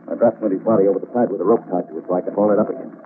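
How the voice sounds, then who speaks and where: An actor playing a murderous, defensive sailor and cornered schemer in 1940s radio drama style. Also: male voice, on the low side, fairly even intonation, racing, lightly acted. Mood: cornered, confessing. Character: murderous male sailor, cornered schemer confessing to his crimes. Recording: muffled, noisy vintage radio recording.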